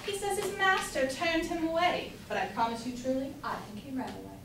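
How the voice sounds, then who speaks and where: Woman acting a scolding wife, animated, echoey hall. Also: high-pitched, brisk. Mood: scolding, exasperated. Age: young adult. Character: scolding, fretful wife and mother. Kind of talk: amateur staged play reading. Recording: echoey hall from far off, noisy.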